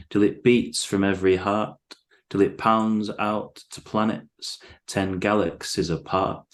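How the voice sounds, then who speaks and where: A man speaking as a guest poet, reading verse and chatting casually about it. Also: on the low side. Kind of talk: poetry reading and casual chat.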